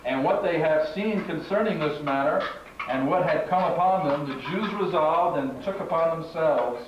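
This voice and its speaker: A man with a slightly high voice reads scripture aloud as a narrator at a live event. His delivery is measured and declamatory. The recording is very echoey and distant, with muffled sound and clear noise. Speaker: narrator and master of ceremonies at a congregational puppet show. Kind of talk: live scripture reading to an audience. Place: large echoing room at a live congregational event. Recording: echoey hall from far off, muffled, noisy.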